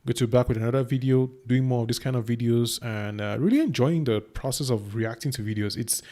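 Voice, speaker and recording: A young Cameroonian man with a middle-pitched voice talks through his thoughts as a reaction host. His pace is loose and rambling, and he often restarts his sentences. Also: a little fast, mellow. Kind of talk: casual reaction commentary.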